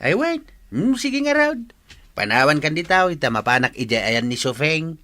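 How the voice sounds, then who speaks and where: A playful Ilocano village man, teasing and flirtatious in radio comedy style. His voice is bright and forceful, leaping wildly up and down in pitch. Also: very animated, moderate pace.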